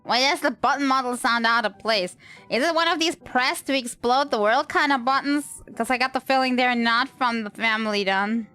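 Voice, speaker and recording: A woman's voice: a little high, moderate pace, bright, forceful tone, clean tone. A woman livestreaming a game, reacting casually with wry, playful commentary. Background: faint game music.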